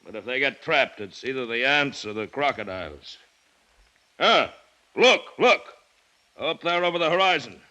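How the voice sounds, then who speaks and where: An actor playing a bold, stubborn jungle plantation owner in an old-time radio drama, self-assured and clipped. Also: male voice, mid-range voice, very animated, moderate pace, pushed and bright, poor audio. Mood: intent. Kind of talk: 1940s-50s radio drama acting. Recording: vintage radio recording with light noise.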